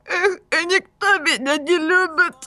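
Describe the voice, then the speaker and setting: A woman plays a mopey emo boy passing as a girl, in a high voice. Faint music runs under this homemade visual novel dub. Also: unhurried, bright, forceful tone, full character voice.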